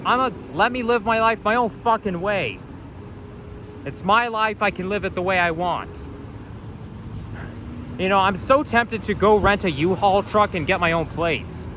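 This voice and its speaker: A man's voice: sits high, unhurried, long pauses, pushed and bright. A young man venting and swearing in frustration while filming a walking vlog outdoors on a city street. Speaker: vlogger. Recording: street traffic noise.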